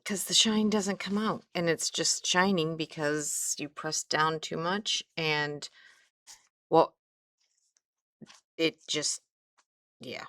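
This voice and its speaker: A middle-aged woman with a light Midwestern accent talks her way through a crafting tutorial, her voice low and her pace unhurried. She rambles, trails off and picks her thread back up as she works with her hands. The sound is close and dry, with no room behind her. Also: fairly even intonation, a bit bright, no echo. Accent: Midwestern American. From American Midwest. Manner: chatty, rambling, trails off mid-sentence. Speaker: craft instructor.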